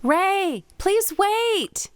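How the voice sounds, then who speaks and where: A woman's voice: extremely high pitch, very animated, very slow, no room sound, studio quality, full character voice. An actress playing a teasing, spirited, caring young Japanese woman in a radio play, speaking expressively. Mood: urgent, pleading.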